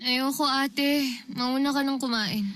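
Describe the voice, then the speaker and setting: An actress playing a sullen, irritable younger sister in a radio drama, whiny, stubborn and defiant. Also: female voice, sits high, steady tone, unhurried, noisy background. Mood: irritated, sulky. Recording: music behind the voice.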